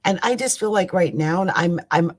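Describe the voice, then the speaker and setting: Raspy-voiced woman streamer. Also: fairly low pitch, normal speed. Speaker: astrology live stream host. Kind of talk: casual live astrology chat.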